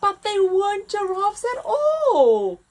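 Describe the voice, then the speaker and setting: A woman reading a children's story aloud as a story time host, with expressive, dramatic emphasis. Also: very high-pitched, takes it slowly.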